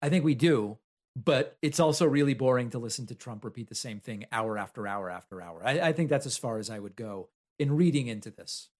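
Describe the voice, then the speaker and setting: A man from Argentina whose first language is Spanish hosts a solo political commentary segment. He talks in a conversational, argumentative way and answers objections he raises himself. His voice sits at a middle pitch for a man. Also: lively intonation, brisk, darkish tone.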